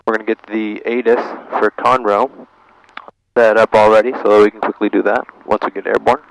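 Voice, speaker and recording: Low-voiced man narrating from an airplane cockpit. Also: little pitch movement, a little fast, gravelly, low-quality recording. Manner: thinks aloud in short procedural phrases. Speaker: pilot narrating his own flight. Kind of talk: cockpit vlog narration mixed with radio calls. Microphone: aviation headset. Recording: faint engine and radio noise.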